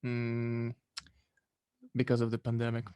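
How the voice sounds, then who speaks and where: A young man from Poland, a musician guest, answering interview questions in a relaxed, rambling way with lots of fillers. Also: medium pitch, unhurried, smooth, no room sound, clean studio sound.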